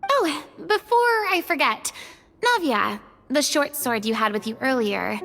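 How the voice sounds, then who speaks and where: Game role: polite young woman companion. Extremely high, earnest, reverberant. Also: lively intonation, unhurried, creaky, a bit bright, heavily acted. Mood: thoughtful. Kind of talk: scripted game voice acting. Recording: echoey reverb, music behind.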